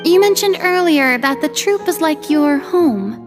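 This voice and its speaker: A woman's voice: sits high, animated, unhurried. An actress playing a poised, gracious young woman who serves as an artistic consultant, in a game cutscene. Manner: poised, polite. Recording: game mix with music clearly behind.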